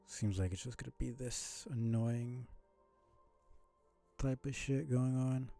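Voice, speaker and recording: A man's voice: medium pitch, slow, gravelly, dark tone, faint music behind. A man casually commentating a live video game stream, rambling along in a mumbly, mildly annoyed way.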